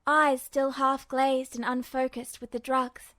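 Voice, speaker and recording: A woman with a high voice narrates fiction from a script. The recording is very dry, with the sound of a story read aloud for listeners. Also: level tone, measured pace, a bit bright, dry recording. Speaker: podfic narrator.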